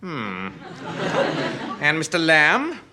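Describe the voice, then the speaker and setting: A man's voice: on the high side, huge pitch swings, very slow, heavy background noise, exaggerated voice. A man playing a stern older civil service chief, curt and scolding, with an English accent in a British radio sitcom. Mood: impatient. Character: stern, irascible older male senior civil service boss.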